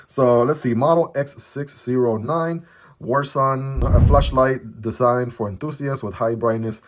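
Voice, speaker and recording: A man's voice: medium pitch, unhurried, a little hiss or hum. A man whose first language is Chinese, chatting casually through a product unboxing, often tagging his sentences with "right?". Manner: chatty, frequent "right?" tag questions. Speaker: product reviewer. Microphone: phone camera.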